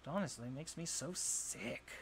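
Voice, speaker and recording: A man's voice: on the high side, slight background noise, natural voice. A young man playing a jealous, insecure college bully in a roleplay audio drama, scoffing, sighing and defensive. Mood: bitter, jealous. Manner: scoffing, sighing, swearing, halting when flustered.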